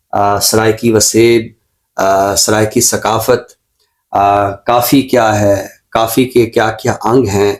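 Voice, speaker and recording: A man with a Pakistani accent giving an unscripted book review as a show presenter, explaining in a measured, scholarly way. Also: on the low side, darkish tone.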